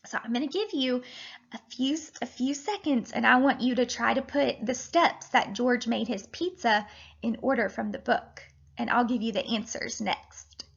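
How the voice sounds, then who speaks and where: High-pitched woman reading to children. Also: creaky, breathy edge, muffled recording. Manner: animated, deliberate, addressing children directly. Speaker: teacher reading a picture book aloud to children. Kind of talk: expressive children's read-aloud and lesson.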